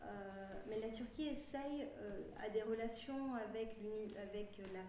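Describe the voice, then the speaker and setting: A woman's voice: mid-range voice, a little slow, mellow, a little hiss or hum. Hesitant woman heard from afar in a hall. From Turkey. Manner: hesitant, frequent fillers. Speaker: panelist. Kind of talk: public discussion. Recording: roomy, distant from the mic.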